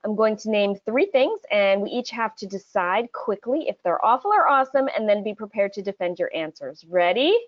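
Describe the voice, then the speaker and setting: A woman hosting a casual game segment in a chatty podcast style, playful and quick to laugh as she talks. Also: mid-range voice, animated, muffled recording. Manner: playful, laughs often while talking. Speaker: podcast host.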